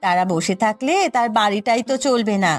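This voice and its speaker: A woman's voice: medium pitch, brisk, soft background music, close to an everyday voice. A woman voicing a caring village mother, chiding yet soothing, in a moral cartoon story. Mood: soothing, explaining. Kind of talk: Bengali moral cartoon dubbing.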